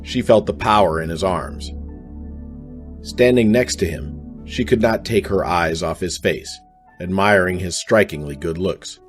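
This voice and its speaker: Man, low voice, radio drama narrator, scripted storytelling, music underneath. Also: a little slow.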